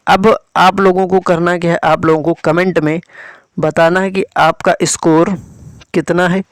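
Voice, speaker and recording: A man speaks in a slightly high voice. He explains exam information to students in an instructive way, repeating key numbers and addressing them directly. The recording is dry and close, with very little room sound. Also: flat delivery, a little slow, smooth, no echo. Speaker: exam coaching presenter.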